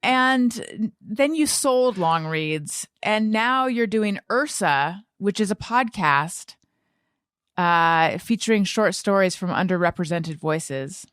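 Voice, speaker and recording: A woman's voice: medium pitch, animated, slow pace, fairly bright tone, clear, resonant tone, no room sound. A middle-aged American woman hosting a podcast, chatting casually in a rambling, self-deprecating way. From Orange County, United States. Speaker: podcast host. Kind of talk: casual conversational interview.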